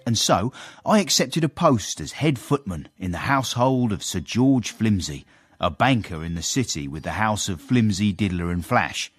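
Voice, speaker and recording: A smug, animated British social climber. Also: male voice, lowish voice, normal speed, husky, soft background music. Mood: smug storytelling. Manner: genteel, self-satisfied, formal wording. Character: socially climbing manservant turned gentleman, smug and courteous. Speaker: narrator and lead character. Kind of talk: radio period drama, first-person storytelling.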